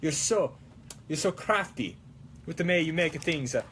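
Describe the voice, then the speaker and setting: A man's voice: fairly high pitch, expressive, moderate pace, fairly bright tone, slight background noise. A man rambling casually as a livestream host, playful and theatrical, with a put-on, wavering Italian accent. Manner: playful, theatrical, peppered with mock-Italian exclamations. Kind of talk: casual rambling livestream chat while crafting.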